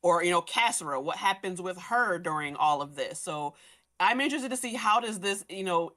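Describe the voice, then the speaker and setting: A woman in a casual fan discussion, animated and given to acting out imagined remarks. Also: low voice. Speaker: podcast guest discussing an audio drama.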